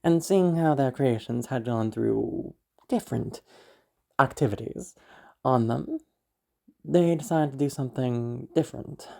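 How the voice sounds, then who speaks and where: A woman voicing a formal, courteous magical storytelling machine in a husky, measured, theatrical narration. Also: rumbling low, a little mellow, heavily acted. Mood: stately, courteous. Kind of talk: scripted narration.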